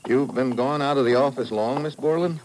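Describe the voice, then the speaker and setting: A man's voice: mid-range voice, brisk, darkish tone, a little hiss or hum, natural voice, rough recording. An American man acting a tough government agent in a 1950s radio drama, speaking in a clipped, blunt, businesslike way. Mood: brisk, grim. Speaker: lead actor and narrator of a radio drama. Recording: vintage radio recording with music.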